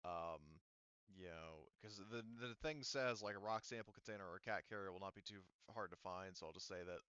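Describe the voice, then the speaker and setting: A man narrating a tabletop roleplaying game as game master, casual and halting, with the odd swear word. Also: deep voice, pushed and bright, clean tone, no room sound, muffled recording.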